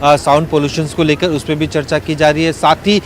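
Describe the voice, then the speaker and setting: A man's voice: fairly high pitch, level tone, a little fast, slight background noise. A man reporting live as a news correspondent, unscripted, in long running sentences broken by hesitant fillers.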